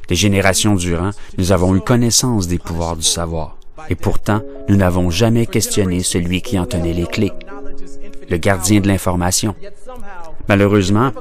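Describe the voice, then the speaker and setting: Impassioned man performing spoken-word poetry, lowish voice. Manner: declamatory, impassioned, deliberately phrased.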